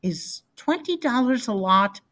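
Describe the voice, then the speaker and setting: A man plays a small boy in a storybook, pitched high and full of swoops. The kid is inquisitive and blunt, with plain childlike phrasing. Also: animated, a little slow, bright, forceful tone, exaggerated voice. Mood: curious. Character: curious, blunt little boy listening to bedtime story.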